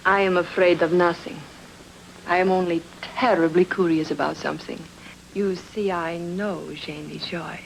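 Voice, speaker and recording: An actress playing a guarded, sultry woman with secrets, speaking in formal, measured radio noir diction. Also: fairly low pitch, fairly even intonation, creaky, a little hiss or hum, poor audio. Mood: haughty, probing. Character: poised, guarded, sultry femme fatale with secrets. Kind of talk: old-time radio noir acting.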